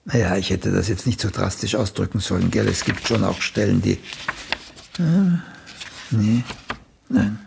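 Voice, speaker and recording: A male actor in a German radio play, playing an older celebrated playwright who is a condescending, bluntly critical mentor with a gravelly, husky voice. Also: on the low side, measured pace, pauses often, a little mellow, a little hiss or hum. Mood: scathing, condescending.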